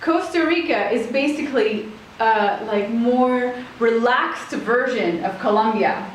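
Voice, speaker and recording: A woman's voice: on the high side, animated, slow pace, recorded from a distance. A woman from Switzerland, chatting casually as an interview guest and rambling as she searches for words. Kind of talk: casual chat. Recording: very echoey room with faint noise.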